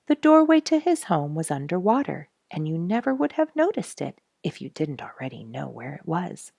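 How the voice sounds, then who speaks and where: A woman's voice: low-pitched, gravelly, darkish tone, no echo, studio quality. A woman reading a children's storybook aloud and chatting encouragingly to young listeners as host. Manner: encouraging, addresses young readers directly. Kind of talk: read-aloud children's storybook with casual asides.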